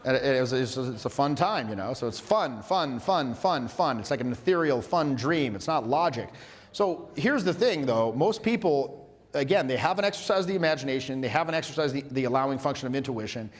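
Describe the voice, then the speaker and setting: A man coaching social skills in a playful, energetic workshop style, loose and full of filler words and quick restarts. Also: mid-range voice, big-room sound. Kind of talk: energetic live workshop teaching.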